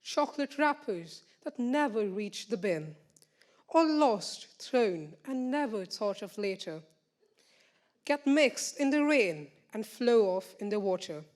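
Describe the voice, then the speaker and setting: A woman reciting a rhyming poem on stage with deliberate emphasis. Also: a little high. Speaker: student poet.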